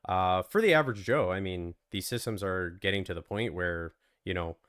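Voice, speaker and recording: A man co-hosting a podcast in casual chat, his voice hoarse from being sick, riffing in a laid-back way. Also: sits low, moderate pace, a bit bright, dry recording, studio quality. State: hoarse from illness. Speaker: podcast co-host.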